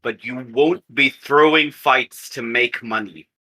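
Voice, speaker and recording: A man's voice: mid-range voice, unhurried, pushed and bright. A man playing a stern, haughty fight-business boss in a tabletop game, formal and sardonic.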